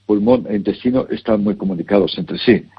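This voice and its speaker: A man giving health advice, measured and instructive, carefully repeating the names of remedies. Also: lowish voice, breathy edge, dull audio. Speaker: health advisor. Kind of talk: advisory call-in consultation.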